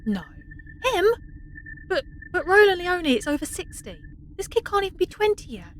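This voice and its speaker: A woman's voice: extremely high pitch, very animated, moderate pace, a little hiss or hum. A woman playing an incredulous, shaken research chief in a sci-fi audio drama, speaking with urgent disbelief.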